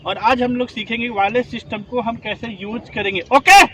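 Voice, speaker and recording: A man's voice: very high-pitched, steady tone, a little fast, very noisy. A man, a rescue trainer, explaining a device step by step and asking his class to confirm. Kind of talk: instructional demonstration.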